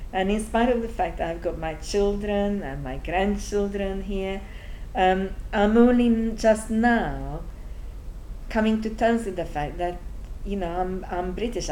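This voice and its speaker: A woman in her sixties from Hungary, speaking with a strong Hungarian accent, talks reflectively and haltingly with frequent pauses. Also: lowish voice, slight background noise, poor audio. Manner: thoughtful, halting, with frequent ums and soft laughs. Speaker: interviewee. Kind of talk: reflective personal testimony.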